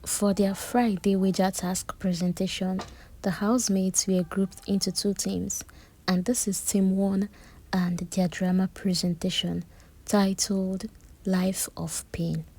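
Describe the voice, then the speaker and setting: A woman gives a chatty, animated recap of a reality-show drama, with personal asides. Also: medium pitch, slow pace. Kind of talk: casual recap commentary.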